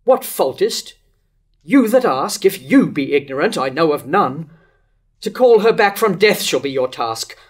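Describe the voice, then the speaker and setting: Older man playing a tormented, high-voiced lovesick king. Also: big pitch swings, measured pace, slightly rough, heavily acted. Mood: wavering. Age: older, about 60s to 70s. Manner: declamatory, rhetorical questioning. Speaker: actor reading Herod in a staged play reading. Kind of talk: staged reading of Jacobean verse tragedy. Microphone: stand microphone at a lectern.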